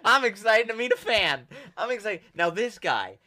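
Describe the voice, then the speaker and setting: A young man from Rhode Island co-hosting a podcast, telling stories in a loud, projecting, animated voice. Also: sits high, a little slow. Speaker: podcast co-host. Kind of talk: casual chat.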